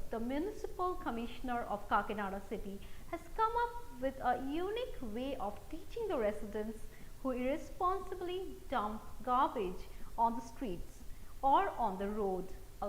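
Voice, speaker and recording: A woman's voice: high voice, very animated, normal speed. A woman with an Indian accent anchoring a scripted news bulletin. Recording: roomy echo, faint background noise.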